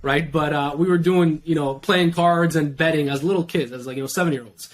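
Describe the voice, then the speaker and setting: A young man from Iran, a podcast guest, talking in a steady, reflective way. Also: fairly high pitch, a little fast, slight background noise. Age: young adult. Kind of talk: casual interview talk.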